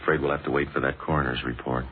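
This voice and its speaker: A man playing a courteous police detective in a vintage radio drama, clipped, deadpan and matter-of-fact. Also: very deep voice, racing. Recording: tinny, noisy vintage radio recording.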